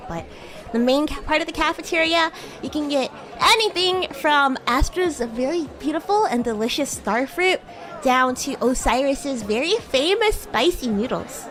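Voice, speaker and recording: Woman playing a high-pitched bratty demon schoolgirl. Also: fairly bright tone. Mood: friendly, chatty. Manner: teasing, bratty, hesitant with flustered stammers. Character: bratty young female demon schoolgirl, teasing and flustered. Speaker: VTuber streamer playing a character. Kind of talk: improvised roleplay livestream, chatting with viewers.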